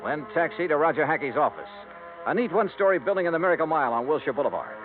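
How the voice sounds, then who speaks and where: An actor playing a blunt, hardboiled insurance investigator in a 1950s radio drama, asking brisk, dry questions. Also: male voice, fairly high pitch, lively intonation, music in the background, lightly acted. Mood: narrating. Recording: tinny lo-fi 1950s radio broadcast with faint hiss.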